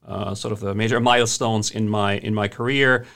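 A man's voice: on the low side, steady tone, normal speed, creaky, fairly bright tone. A man with a German accent, a guest historian in an interview, speaking conversationally with many hesitations and restarts.

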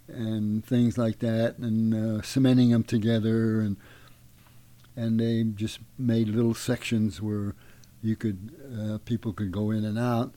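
Older man recalling, halting and mellow. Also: on the low side, no echo. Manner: halting, with many uhs. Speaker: interviewee, retired army veteran. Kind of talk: casual recollection.

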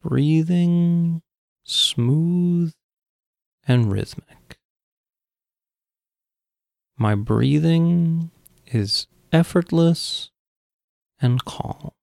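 Slow, creaky man guiding meditation. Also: a little high, no echo, studio quality. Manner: calm, measured, long pauses between phrases. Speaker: guided meditation coach. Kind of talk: guided meditation, slow soothing instruction.

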